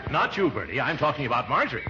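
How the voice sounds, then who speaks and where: An actor playing a pompous, jovial middle-aged uncle in a theatrical 1940s radio comedy style. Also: male voice, mid-range voice, very animated, racing, over a noisy crowd, natural voice. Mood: jovial, coaxing. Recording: muffled, noisy 1940s radio recording.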